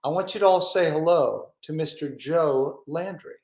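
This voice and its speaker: A male talk show host with a slightly high voice speaks in an effusive, conversational way. The sound is roomy, with clear echo around his words. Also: normal speed, darkish tone. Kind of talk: casual interview and chat.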